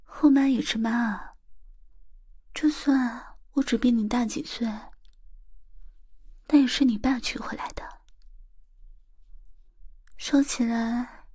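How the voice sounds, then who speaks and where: A woman playing a sly, teasing young stepmother in a whispered, breathy audio roleplay, coaxing and drawn-out. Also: a little high, mellow.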